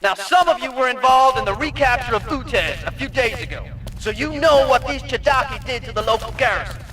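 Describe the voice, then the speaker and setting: A forceful, high-pitched American Marine commander rallies his troops with a sharp, bright edge. He is stern and sardonic, speaking like a gung-ho video game officer in a briefing. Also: fairly quick. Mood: stern, rousing. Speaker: military commander.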